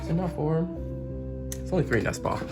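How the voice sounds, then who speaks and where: A man with a sore throat and a hoarse, sick voice, chatting casually as he unboxes and reviews a card game deck. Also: fairly high pitch, animated, brisk. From Canada. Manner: chatty, gushing over cute packaging. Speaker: trading card game YouTuber. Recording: music playing underneath.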